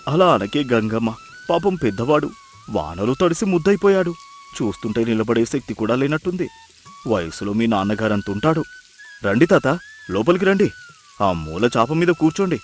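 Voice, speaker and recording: A man's voice: mid-range voice, big pitch swings, normal speed, faint music behind, close to an everyday voice. A man playing a kindly, confident village husband, firm and reassuring, in acted moral story dialogue.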